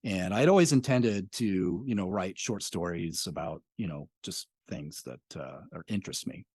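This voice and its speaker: Low husky-voiced man, rambling. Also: lively intonation, moderate pace, darkish tone, no room sound, studio quality. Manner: rambling, many fillers and restarts. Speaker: podcast creator, guest. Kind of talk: casual interview conversation.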